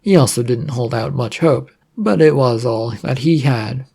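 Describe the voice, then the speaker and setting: Husky, gravelly story narrator. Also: mid-range voice, a little slow, dark tone. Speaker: audiobook-style narrator. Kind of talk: scripted narration of an adventure story.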